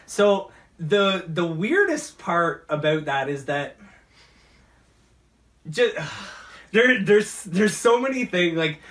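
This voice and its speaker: Halting, higher-voiced man, distant from the mic. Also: very animated, fairly bright tone. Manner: halting, rambling, repeats words. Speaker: talk show host. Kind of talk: casual geek movie chat.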